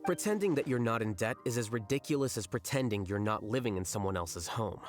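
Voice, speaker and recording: A man voicing a cool, condescending young scholar in an anime-style video game, teasing in a dry, deadpan way. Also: lowish voice, fairly quick, natural voice. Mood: coolly teasing. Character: cool, smug, unflappable young male scholar roommate. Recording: game dialogue with music behind.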